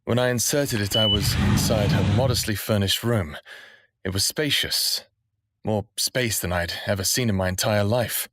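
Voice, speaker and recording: A man playing a smartass, foul-mouthed human in his thirties, narrating an audio drama in the first person with a sardonic, expressive delivery. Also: fairly low pitch, moderate pace. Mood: wry narration. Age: 30s. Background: music under the voice.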